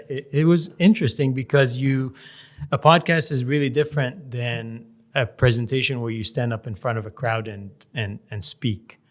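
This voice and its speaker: A man from Canada, a guest in a casual interview, talking in a measured way and often pausing to search for words. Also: medium pitch, fairly bright tone. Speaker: podcast guest, real estate developer.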